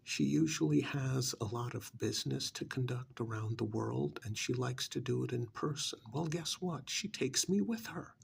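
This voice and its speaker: A man playing a smug, pampered vampire's familiar recruiter in an ASMR role-play, cajoling and dismissive, with a gravelly voice that often drops to a breathy near-whisper. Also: medium pitch, a little hiss or hum. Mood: boastful. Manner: conversational, cajoling, with rhetorical questions and pauses.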